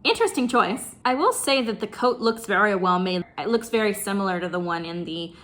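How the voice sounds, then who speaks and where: A woman's voice: mid-range voice, lively intonation, brisk, a bit bright. A woman doing casual reaction commentary in a wry, amused, sarcastic way. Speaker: reaction video host.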